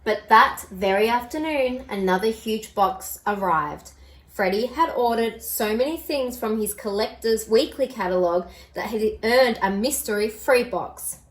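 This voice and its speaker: A woman reads a picture book aloud to children as a storyteller. Her voice is fairly high and very lively in its melody, and she takes her time over the words. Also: a little slow.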